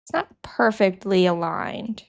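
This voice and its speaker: A woman's voice: medium pitch, expressive, slow, creaky. A young woman streamer who is sick and sniffling, chatting casually with her chat and thinking aloud as she works.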